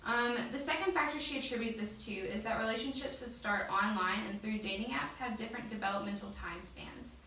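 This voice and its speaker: A woman's voice: fairly high pitch, a little fast, hardly any pauses, sharp tone. A woman student interviewer reading out research findings and putting questions in an interview. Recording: very echoey room, far mic, faint noise.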